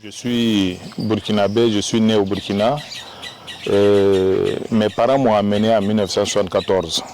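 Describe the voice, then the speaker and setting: A man's voice: fairly low pitch, level tone, moderate pace, a little mellow, slight background noise. A man giving brief, direct interview answers.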